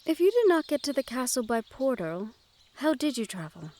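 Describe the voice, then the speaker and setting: A woman playing a gentle, curious young noblewoman in a fantasy audio roleplay, speaking to the listener in formal, measured phrases. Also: sits high, sing-song. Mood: friendly, curious. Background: outdoor garden ambience with birdsong.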